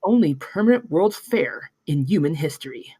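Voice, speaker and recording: A woman plays a seventies promo film announcer in a table read. Her voice sits a little low, polished and inviting, with a close, dry sound. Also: animated, normal speed, dark tone, slightly rough, dry recording.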